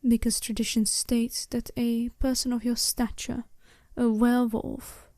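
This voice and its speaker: A smooth, seductive female werewolf bride, acted by a woman in a soft-spoken ASMR roleplay. Her voice sits fairly high yet stays mellow, and she talks in polite, formal, businesslike phrases. The sound is dry and close. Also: little pitch movement, no echo, close to an everyday voice. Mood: frank, measured. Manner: polite, measured, formal wording.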